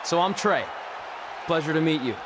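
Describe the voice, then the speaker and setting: An actor playing an easygoing, cheeky rookie wrestler, talking casually and affably in a video game scene. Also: male voice, fairly high pitch, moderate pace, natural voice. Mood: cheeky, friendly. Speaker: young challenger wrestler. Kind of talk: conversational in-ring dialogue in a video game. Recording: noisy arena with crowd behind.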